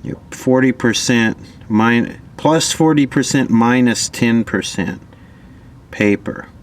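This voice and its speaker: A man giving casual hands-on repair commentary, thinking aloud in an offhand, low-key way as an electronics hobbyist. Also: slow. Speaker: electronics repair hobbyist presenting his own video.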